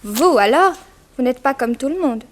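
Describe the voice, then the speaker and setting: A woman's voice: high voice, expressive, moderate pace, a little hiss or hum. An actress playing a chatty, naive and inquisitive young woman in a French radio drama. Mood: playful, curious. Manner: inquisitive, artless. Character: chatty, naive young working woman, curious then frightened.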